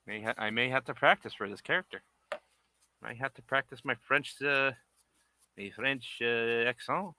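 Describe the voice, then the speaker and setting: Bright-voiced man muttering over his crafts. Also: medium pitch, moderate pace. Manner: quiet, muttering to himself while working. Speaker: puppet maker streaming his work. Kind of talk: casual livestream chat while crafting. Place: home work bench.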